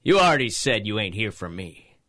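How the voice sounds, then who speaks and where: A man's voice: on the low side, big pitch swings, a little fast, bright, forceful tone. An actor playing a short-tempered, swaggering gangster boss with slangy tough-guy talk in a 1930s-style radio drama. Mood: grudging.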